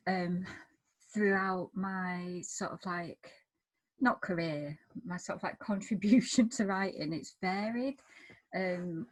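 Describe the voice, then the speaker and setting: A woman's voice: on the low side, rough recording. A woman speaking as a panellist, reflecting in an informal, thoughtful and slightly tentative way. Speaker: writer, panellist.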